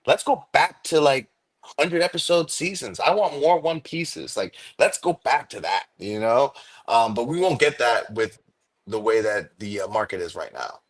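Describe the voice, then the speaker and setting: A man's voice: mid-range voice, expressive, normal speed. A man talking in a casual chat as a guest, eager and filling his speech with "like" and "um". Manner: enthusiastic, many fillers like "like" and "um". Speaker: guest content creator.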